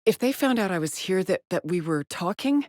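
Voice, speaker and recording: An actress playing a haunted, weary woman astronaut stranded alone on an alien world in a video game, with a breathy voice and a grave, brooding delivery. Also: mid-range voice, lively intonation, fairly quick, a little mellow, dry recording, clean studio sound, lightly acted. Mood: anxious, guarded. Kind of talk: dramatic game voice lines, monologue logs.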